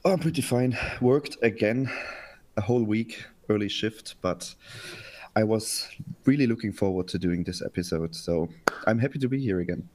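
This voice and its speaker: A man's voice: on the low side, moderate pace, a little mellow. A man co-hosting a podcast, chatting in a friendly way with some stumbles. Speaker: podcast co-host. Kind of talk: casual podcast chat.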